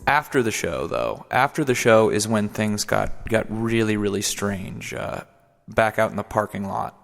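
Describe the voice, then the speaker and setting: A man's voice: lowish voice, a little slow, creaky, in a large hall, faint music behind. A man narrating a comedic radio play in a hesitant, filler-heavy, dry manner. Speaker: narrator and host.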